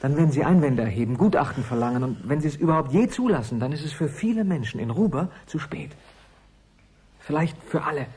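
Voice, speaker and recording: Male radio-play role: urgent scientist's assistant, husky, mellow-toned, earnestly persuasive. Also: a little high, expressive, fairly quick, heavily acted. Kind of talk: German radio play acting.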